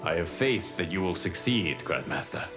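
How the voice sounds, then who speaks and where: An actor voicing a calm, grave god who guards time and the realms in a video game, speaking in measured, formal phrases. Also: male voice, deep voice. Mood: grave, regretful. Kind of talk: video game character dialogue. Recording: game dialogue under loud music.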